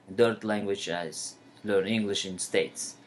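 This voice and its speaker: Soft-spoken podcast host from Kyrgyzstan. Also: male voice, lowish voice, fairly even intonation, a little slow. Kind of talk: casual interview questions.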